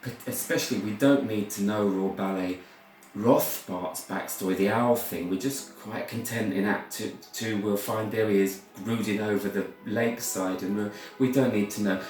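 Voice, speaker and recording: A British man giving a rambling, informal talk as a storyteller, halting and repeating words, with theatrical, opinionated asides. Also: on the low side, steady tone, darkish tone. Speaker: ballet storyteller and performer. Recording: very echoey room, far from mic.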